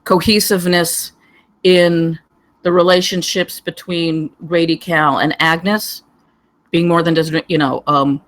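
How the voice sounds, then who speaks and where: A panelist giving digressive, halting spoken feedback on a script, wandering from point to point. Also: medium pitch, level tone, a bit bright. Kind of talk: rambling spoken critique.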